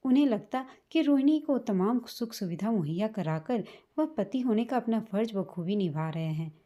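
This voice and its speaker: A woman reading a scripted, sentimental family story as a storyteller, in a measured manner. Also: medium pitch, animated, warm tone. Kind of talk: scripted emotional story narration in Hindi.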